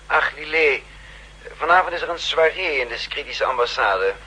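A courteous, slightly hesitant spy boss. The voice is strongly raspy yet bright and tense, delivered as formal 1960s radio drama. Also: male voice, a little high, lively intonation, moderate pace, heavily acted, rough recording. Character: courteous male counterespionage chief, hesitant but commanding.

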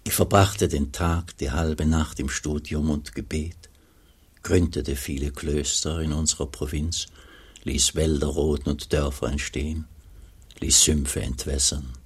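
An actor playing an old, pious, melancholy abbot in a German radio play, speaking gravely and solemnly as he looks back, with a gravelly, husky voice. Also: a bass voice, steady tone, full character voice. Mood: solemn, reflective. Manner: slow, solemn, measured storytelling.

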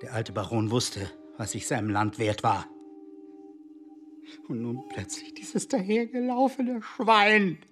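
A blustering, self-pitying secret agent husband. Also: male voice, mid-range voice, slow pace, fairly bright tone, soft background music. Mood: indignant, agitated. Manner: blustering, pleading, swinging between coaxing and outrage. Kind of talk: German radio drama acting.

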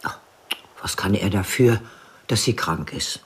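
An actress playing an elderly village widow in a radio drama, plainspoken and stubborn, with a dry, blunt manner. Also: female voice, very deep voice, animated, measured pace, breathy edge, exaggerated voice. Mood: scolding, questioning. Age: older. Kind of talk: radio drama narration and dialogue.

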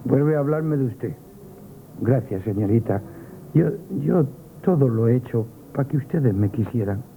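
A man's voice: medium pitch, lively intonation, unhurried, slight background noise. An actor from Spain playing a timid, emotional young shop errand boy in a radio stage comedy, stammering and exclamatory. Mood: humble, grateful. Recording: tinny, narrow-band 1970s radio recording.

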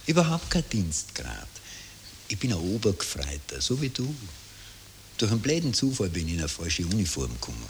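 An actor in a 1960s radio drama playing an uneasy soldier confiding and wheedling in an Austrian accent. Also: male voice, deep voice, wildly swooping pitch, normal speed, heavily acted. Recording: very hissy, clicking old tape.

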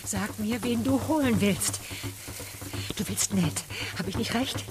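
A woman's voice: medium pitch, measured pace, close to an everyday voice. An actress playing a frightened but defiant settler mother protecting her children in a German radio drama. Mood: fearful pleading.

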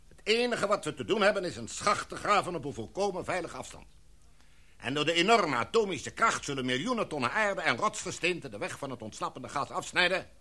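A man's voice: on the high side, very animated, pushed and bright, full character voice. Een man die in een hoorspel een formele, gezaghebbende expeditieleider speelt en afgemeten, redenaarsachtig spreekt. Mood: resolute. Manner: formal, oratorical, measured. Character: authoritative, formal expedition leader, a knighted gentleman. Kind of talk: radio drama acting.

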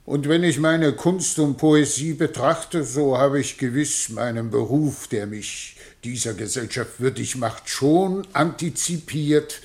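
An actor playing a vain, long-winded poet in a German radio play, with an affected, self-satisfied manner. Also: male voice, medium pitch, takes it slowly, few pauses. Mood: smug.

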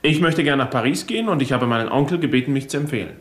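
A man playing a young nephew questioned by police in a 1970s radio drama, defensive and quick to flare up. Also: mid-range voice, roomy sound, close to an everyday voice. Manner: defensive, flares up when pressed.

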